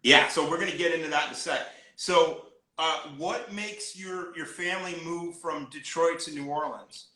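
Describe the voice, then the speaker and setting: A man's voice: on the high side, moderate pace, hardly any pauses, sharp tone, roomy sound, low-quality recording. A man hosting a live-streamed interview, steering with short encouraging remarks and guiding questions. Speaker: interview host.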